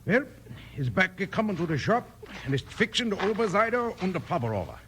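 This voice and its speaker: A man's voice: high-pitched, very animated, fairly quick, a bit bright. An actor playing a flustered, indignant German immigrant gunsmith who mangles his English in a 1950s radio western. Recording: noisy old 1950s radio recording.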